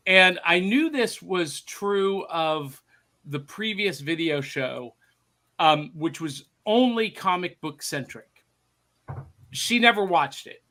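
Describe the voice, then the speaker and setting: A man's voice: high-pitched, animated, slow pace, pushed and bright. A middle-aged man hosting a podcast in casual chat, teasing his co-host with jokey banter.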